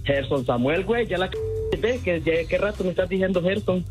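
A man's voice: sits high, brisk, music in the background. A man from Venezuela taking a prank phone call, dry and unbothered, firing wisecracks back. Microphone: phone line.

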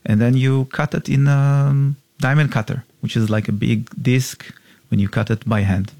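A middle-aged man from Israel, a diamond company co-founder, answering interview questions in halting, simple phrasing with fillers. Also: mid-range voice, flat delivery, vocal fry, dark tone, no room sound.

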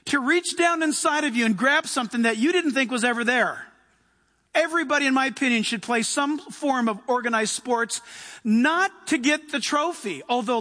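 A middle-aged man preaching a sermon in an emphatic, conversational way, moving between quieter asides and raised exhortations. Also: extremely high pitch. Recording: long hall reverb.

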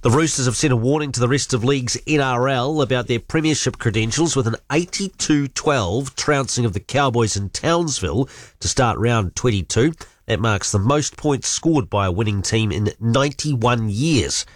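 A man reading a radio sports news bulletin in a brisk, even way. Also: mid-range voice, no echo. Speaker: sports newsreader.

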